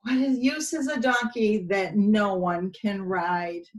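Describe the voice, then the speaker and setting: A woman voicing a mean, tough man who owns a donkey, scolding it gruffly in a children's storybook reading. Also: medium pitch, takes it slowly, distant mic, close to an everyday voice. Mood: angry, scolding.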